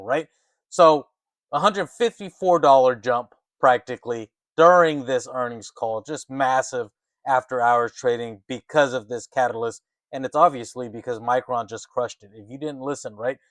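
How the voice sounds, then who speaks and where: A man hosting an informal, excited finance commentary, talking emphatically and conversationally and often checking in with short tag questions. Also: mid-range voice, no echo. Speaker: host of a stock market commentary channel. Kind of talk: excited informal market commentary, partly reading articles aloud.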